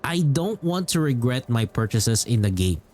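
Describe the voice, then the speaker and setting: A man's voice: mid-range voice, very animated, normal speed, darkish tone. A man, casually rambling as a game streamer and repeating phrases for emphasis. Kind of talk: casual livestream chat about games. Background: music.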